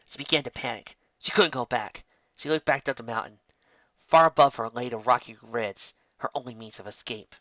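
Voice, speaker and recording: A man's voice: mid-range voice, moderate pace, pushed and bright, no room sound, highs cut off. A man reading a fan fiction story as narrator, occasionally stumbling over words.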